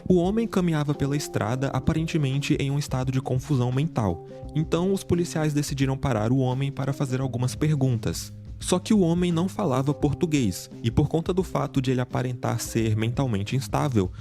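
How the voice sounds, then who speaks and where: Guy reading out a true crime story, steady and measured, music playing under it. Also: medium pitch. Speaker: narrator of the reacted video. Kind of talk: scripted true-crime narration. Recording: music bed under narration. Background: background music.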